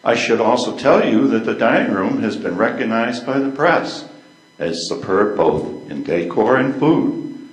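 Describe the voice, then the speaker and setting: A man's voice: mid-range voice, expressive, a little slow, close to an everyday voice. An older man in amateur readers theater playing a courteous, proud country hotel concierge with a salesman's patter. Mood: eager, courteous. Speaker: play reader. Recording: echoey room.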